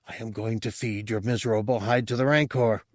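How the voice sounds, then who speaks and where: A man's voice: fairly low pitch, fairly even intonation, a little fast, dry recording, clean studio sound, natural voice. A man voicing a drunken, hot-tempered alien thug, ranting furiously in an audiobook story.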